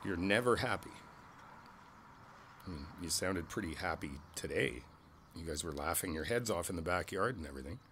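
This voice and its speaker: A man playing an easygoing, friendly older neighbor in a conversational ASMR roleplay, speaking thoughtfully with hesitant pauses. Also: low-pitched, lively intonation, a bit bright, slight background noise. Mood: thoughtful, gentle. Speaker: voice actor in an audio roleplay. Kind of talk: ASMR roleplay, one side of a casual conversation. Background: outdoor ambience with birds.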